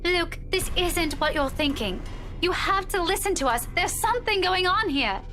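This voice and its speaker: Anxious pleading woman played by a female reader, visual novel, extremely high, bright, urgent, earnest. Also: lively intonation, normal speed, soft background music, full character voice. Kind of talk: visual novel character acting.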